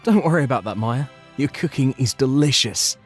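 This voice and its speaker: A man voicing a gentle, considerate young husband in a manga dub, speaking softly and reassuringly. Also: medium pitch, expressive, a little fast, soft background music, natural voice. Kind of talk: scripted manga dub acting.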